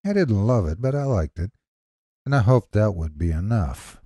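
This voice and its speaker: A man narrating a scripted horror story in a theatrical, suspenseful, deliberate way, with a gravelly, husky voice. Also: lowish voice, big pitch swings, warm tone, no echo, clean studio sound.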